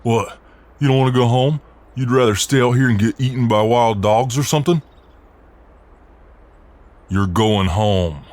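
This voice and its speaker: A man's voice: mid-range voice, unhurried, pauses often, darkish tone, close to an everyday voice. A man acting out a friendly, protective, cocky Southern US mailman in an ASMR role-play, with a folksy, soothing drawl. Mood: reassuring, concerned.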